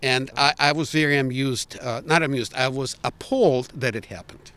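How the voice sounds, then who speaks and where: A man's voice: mid-range voice, moderate pace, fairly bright tone, slight background noise. An older man from Ukraine with a Ukrainian accent, giving emphatic, earnest, opinionated answers as an interviewee. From Ukraine, former Soviet Union.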